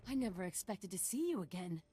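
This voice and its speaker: A woman's voice: mid-range voice, very animated, fairly quick, dark tone, close to an everyday voice. An actress voicing a respectful, admiring female desert explorer in a video game, speaking earnestly and formally. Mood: earnest wonder. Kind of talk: scripted video game acting.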